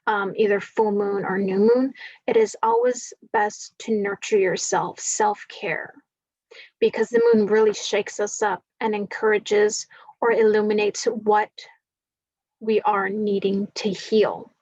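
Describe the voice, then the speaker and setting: Woman, groggy voice, spiritual forecast reading, unhurried, rambling with fillers, dull dry recording. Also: medium pitch, a little mellow, no room sound. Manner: slow, meandering, many fillers. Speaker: spiritual reader.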